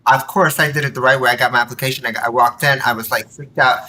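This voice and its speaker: A woman speaking as a podcast guest in casual chat, animated and acting out bits of dialogue as she tells anecdotes. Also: rumbling low, quick pace, slight background noise. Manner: animated, quotes dialogue while telling anecdotes. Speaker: podcast guest, nonprofit board member.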